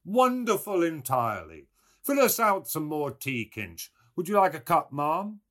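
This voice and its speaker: Male reader as boisterous young wit, literary novel. Grandiose, mocking delivery, lifted pitch, swooping intonation. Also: very animated, a little slow, fairly bright tone. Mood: teasing, jovial. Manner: declamatory, mock-grand.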